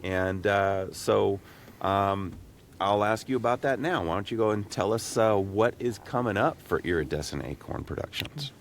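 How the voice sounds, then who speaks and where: A man's voice: lowish voice, lively intonation, moderate pace, a little hiss or hum. A man hosting a casual interview podcast, chatting conversationally. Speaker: podcast host.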